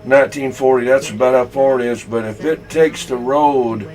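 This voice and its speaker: A man with a Southern US accent telling folksy, halting, rambling stories in casual talk over CB radio. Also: medium pitch, fairly even intonation, darkish tone. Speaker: CB radio operator.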